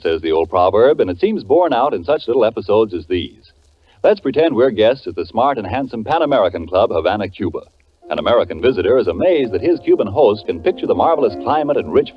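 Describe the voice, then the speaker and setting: A man's voice: fairly low pitch, very animated, fairly quick, soft background music. A man announcing a commercial in 1940s radio style with a polished, declamatory sales delivery. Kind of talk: 1940s radio advertising read. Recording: muffled 1940s radio broadcast.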